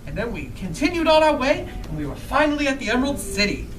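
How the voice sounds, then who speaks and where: A woman's voice: high-pitched, fast, over music. A woman playing a friendly, eager Scarecrow who tells a story live to children and asks them questions. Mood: dramatic storytelling. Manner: animated, asks the listeners questions. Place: indoors in a bookstore. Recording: roomy live event, distant microphone.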